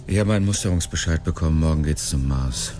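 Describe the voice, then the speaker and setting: A resigned, jobless man in a radio drama. His voice is very deep, weary and terse, set a little away from the mic. Music plays clearly behind him. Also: quick pace, mellow, far from the mic.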